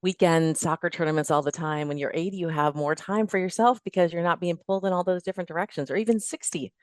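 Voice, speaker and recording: A woman's voice: fairly low pitch, fairly even intonation, fast talker, no echo. A middle-aged woman chatting as a podcast guest, giving emphatic, plain-spoken fitness advice and building her points in lists. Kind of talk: casual interview chat giving advice.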